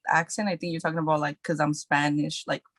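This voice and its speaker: A young woman talks in a loose, chatty way, her sentences full of filler likes. Her voice sits low for a woman, and the recording is dry and close with no room sound. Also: flat delivery, quick pace, fairly bright tone, dry recording. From New York City. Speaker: guest actress. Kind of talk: casual podcast chat.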